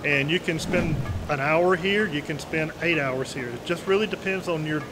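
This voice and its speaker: A man's voice: a little high, moderate pace, background noise. A man in his sixties explaining things in an informal, folksy, conversational interview as a museum representative. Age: 60s. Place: large indoor museum hall. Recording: loud background music. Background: music.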